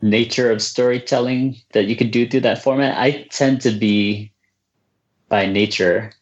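A reserved, soft-spoken man from the United States, answering questions about his books in a casual interview with many fillers and restarts. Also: mid-range voice, fairly even intonation, measured pace, highs cut off. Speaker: children's book author, guest.

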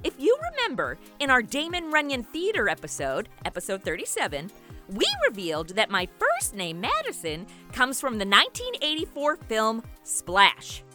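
Bright, snarky young princess , played by a woman. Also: a little high, wildly swooping pitch, moderate pace, soft background music, heavily acted. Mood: excited, chatty. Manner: snarky, slangy. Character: sarcastic, entitled, brash modern young princess. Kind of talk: comic radio play acting.

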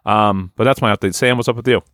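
A man in his thirties co-hosting a podcast, swearing freely and telling stories in a booming voice. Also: fast talker, dry recording, clean studio sound. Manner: profane, loud storytelling, booming voice. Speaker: podcast co-host. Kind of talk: casual chat.

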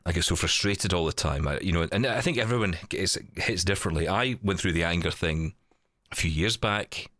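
A man with a very low-pitched voice talks in a dry, close recording. He is a podcast co-host in reflective, casual chat, carefully qualifying his points and rephrasing as he goes. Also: brisk, fairly bright tone, no room sound. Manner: hedging, careful, self-correcting.

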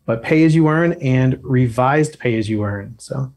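Male guest, medium pitch, talkative and easygoing, explains with light humor. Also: unhurried, darkish tone. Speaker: guest expert on loans. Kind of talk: casual podcast chat.

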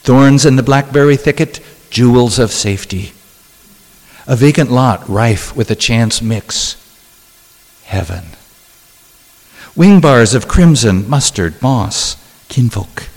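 A man reading his own poetry and talking about it, in a deliberate, measured way with dramatic pauses. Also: mid-range voice, animated, a little mellow. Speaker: poet reading own work. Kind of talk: poetry reading with spoken asides. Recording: long hall reverb.

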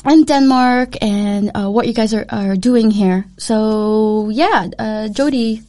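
Chatty, high-voiced woman podcast host. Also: takes it slowly, mellow. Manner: chatty, laughs often, frequent fillers and restarts. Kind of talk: casual chat.

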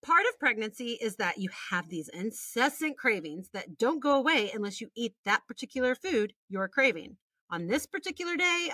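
A lively American woman with a bright, forward voice, recorded close and dry. She co-hosts a casual podcast, reading stories with animation and dropping in irreverent, sweary asides. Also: on the high side, dry recording. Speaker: podcast co-host.

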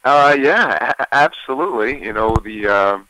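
A man, a singer, talking casually about his album in an interview, with a deep voice and plenty of fillers and restarts. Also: moderate pace, no echo, rough recording. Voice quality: deeper voice. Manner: relaxed, many fillers and restarts.

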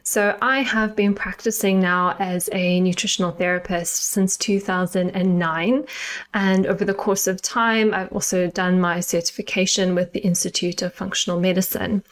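A middle-aged woman from South Africa with a South African accent, hosting her own podcast in a measured, earnest, explanatory way. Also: on the low side, steady tone. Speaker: podcast host and nutritional therapist. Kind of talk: scripted solo podcast monologue.

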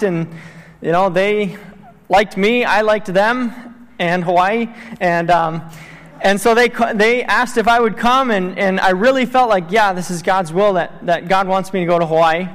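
A fairly high-pitched man in his thirties who grew up in Tanzania gives a missions talk at church. He speaks earnestly, with plenty of ums and uhs, and his voice rings with the long reverb of a church hall. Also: a little slow, fairly bright tone. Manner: earnest, with many fillers. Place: church sanctuary.

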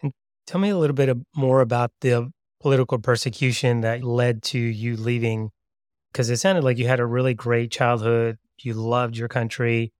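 A man hosting a podcast, reading a scripted introduction and asking interview questions in a measured, friendly way. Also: medium pitch, steady tone, darkish tone, clear, resonant tone, dry recording, studio quality. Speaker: podcast host.

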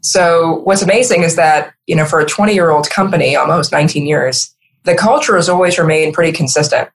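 A woman's voice: low-pitched, fairly quick, rough recording. A woman, middle-aged, speaking earnestly and emphatically as a guest in a conversational podcast interview. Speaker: interview guest, marketing executive.

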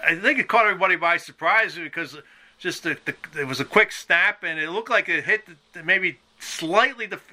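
A man hosting a conversational sports podcast interview, asking halting, rambling questions. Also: sits high, pushed and bright. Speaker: podcast host and sports journalist.

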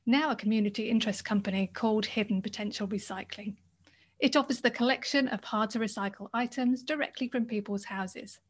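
A woman hosting her podcast, reading a measured scripted introduction and then asking her guest questions. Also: on the high side. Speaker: podcast host.

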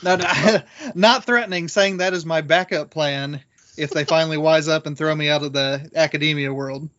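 A man co-hosting a podcast talks in an informal way to listeners. His voice sits a little high, and the sound is mildly dull and lo-fi. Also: moderate pace, fairly bright tone. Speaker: podcast co-host. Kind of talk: casual chat and announcements.

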